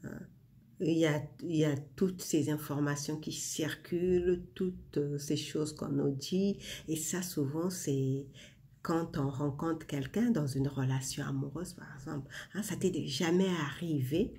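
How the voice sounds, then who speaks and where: A woman in her forties shares reflections in a casual vlog, talking softly and directly to the listener. Her delivery is slow and relaxed, with a warm, dark tone. A gravelly fry runs through her voice, and she fills pauses with "hein" and "euh". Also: low voice. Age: 40s. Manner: intimate, addresses the listener directly, many fillers. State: winding down before bed. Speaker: vlogger sharing inspiration.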